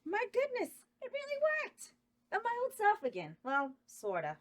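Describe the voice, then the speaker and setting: A woman's voice: very high-pitched, huge pitch swings, normal speed, fairly bright tone, heavily acted. A woman voicing a prim, chipper ghost nurse, sweet and polite, at a table read. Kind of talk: table read of a horror comedy script.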